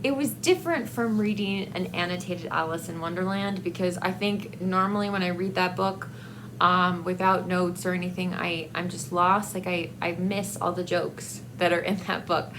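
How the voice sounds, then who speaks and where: A woman chatting casually about books as a vlogger, in a hesitant, rambling, halting way. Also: on the low side, fairly even intonation, noisy background. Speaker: book vlogger. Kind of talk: casual book review chat to camera.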